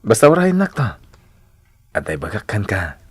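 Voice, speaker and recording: Animated Filipino radio drama schoolteacher. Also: male voice, lowish voice, moderate pace. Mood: friendly. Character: Nestor, friendly helpful male schoolteacher courting a colleague. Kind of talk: radio drama acting in Ilocano.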